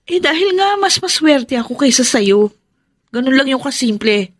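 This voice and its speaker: A woman's voice: high voice, sing-song, moderate pace, no echo. A Filipino woman acting a stubborn, superstitious and self-satisfied elder sister in a radio drama, insistent and lecturing. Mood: insistent, superior.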